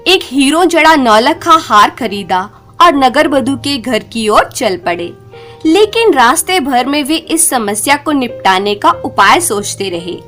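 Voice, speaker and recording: A woman reads a scripted moral tale in a high, very lively voice. She is unhurried and expressive, letting the melody rise and fall over each sentence. Music plays clearly behind her. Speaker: storyteller. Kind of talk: scripted Hindi folk-tale narration.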